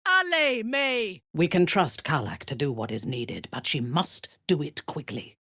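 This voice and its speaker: An actress playing a ruthless, haughty dark elf warrior-priestess in a fantasy video game, speaking with deliberate menace in a husky voice. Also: female voice, sits low, sing-song, dry recording, clean studio sound, exaggerated voice. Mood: incantation, commanding, then cold, resolute.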